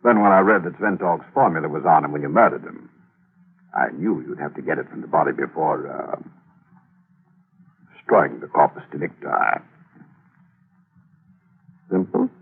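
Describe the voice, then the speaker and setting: Deep, gravelly, smug radio villain. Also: male voice, lively intonation, fairly quick, pauses often, full character voice. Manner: leisurely, mock-friendly, taunting. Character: sly, smug male villain after a secret formula. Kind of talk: 1940s radio thriller acting. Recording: muffled, tinny 1940s radio recording.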